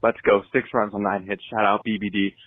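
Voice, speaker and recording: A man from California rattles off a casual fan voicemail in a lowish, husky voice. The phone recording is muffled and lo-fi. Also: level tone, fairly quick. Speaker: voicemail caller.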